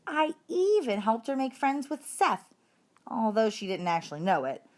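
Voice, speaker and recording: A woman's voice: fairly high pitch, big pitch swings, normal speed, darkish tone. A woman narrating a children's storybook expressively, as a story reader.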